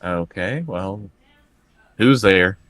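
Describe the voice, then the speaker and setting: A man's voice: low-pitched, drawn-out words, a bit bright, no echo. A man casually playing a tabletop game in character, with dry, hesitant, needling banter.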